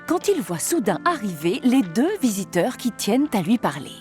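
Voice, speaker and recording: A woman's voice: mid-range voice, very animated, normal speed, darkish tone. A woman narrating a scripted children's cartoon story. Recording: music playing behind.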